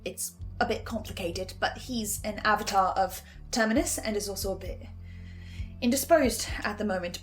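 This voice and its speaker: A woman's voice: fairly high pitch, lightly acted. A woman playing a troubled but defiant archivist in a horror audio drama, speaking in an earnest, hesitant, reflective way.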